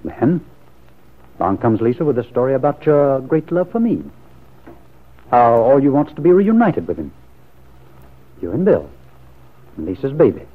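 Husky, swooping suspicious radio lover. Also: male voice, medium pitch, very animated, normal speed, pauses often. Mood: reproachful. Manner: probing, hesitant, earnest. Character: suspicious, brooding lover pressing for the truth. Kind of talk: classic radio soap opera acting. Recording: muffled lo-fi vintage radio recording.

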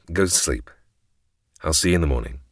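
Deep, suave man, played by an actor. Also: expressive, measured pace, dry recording, clean studio sound, heavily acted. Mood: gentle, firm. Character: suave, reassuring man with hidden motives. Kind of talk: radio drama acting.

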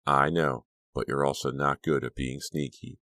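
A man voicing a caring teenage boy in an audiobook, soft, deliberate and reassuring. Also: a bass voice, steady tone, no echo, heavily acted. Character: caring, soothing teenage boyfriend on the telephone. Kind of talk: audiobook character dialogue.

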